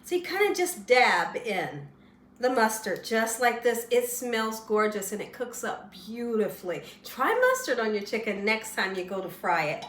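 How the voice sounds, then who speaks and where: A slightly hoarse woman with a fairly high voice walks viewers through a recipe in a chatty, folksy way, often checking in with "right?". She sounds a little away from the mic in an echoey kitchen, with faint background hiss. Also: very animated, normal speed, fairly bright tone, recorded from a distance. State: slightly hoarse, losing her voice. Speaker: cooking show host. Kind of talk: casual cooking demonstration. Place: home kitchen.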